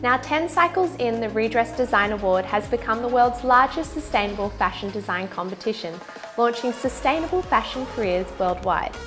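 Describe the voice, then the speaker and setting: A woman's voice: fairly high pitch, animated, moderate pace, fairly bright tone, soft background music. A woman narrating a scripted promotional introduction in a polished delivery.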